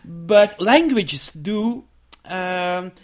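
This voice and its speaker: A man with a Dutch accent, a researcher and author answering interview questions in a thoughtful, halting way with frequent restarts. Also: sits high, expressive. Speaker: education researcher and book author.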